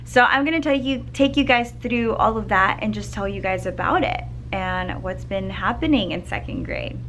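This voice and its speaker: A second grade teacher with a slightly scratchy voice, chatting upbeat to camera in a school classroom, clearing her throat now and then. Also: mid-range voice, moderate pace, a bit bright, soft background music. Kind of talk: casual vlog chat to camera.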